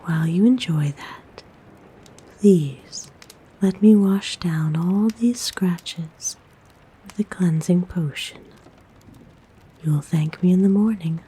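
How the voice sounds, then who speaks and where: A woman playing a kind, motherly forest witch in a soft, breathy, gentle whisper for an ASMR roleplay. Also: fairly low pitch, full character voice. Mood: soothing, intrigued. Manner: gentle, soothing, coaxing, unhurried. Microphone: close microphone.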